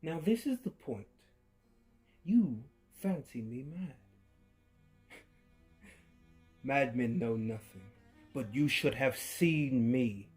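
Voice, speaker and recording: A man reads a horror tale slowly and deliberately, in a dark, mellow voice. He swings from near-whispers to sudden loud outbursts, with an unhinged edge. Faint violin music plays behind him. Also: medium pitch. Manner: unhinged, with creepy laughs. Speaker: narrator. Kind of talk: dramatic horror story reading.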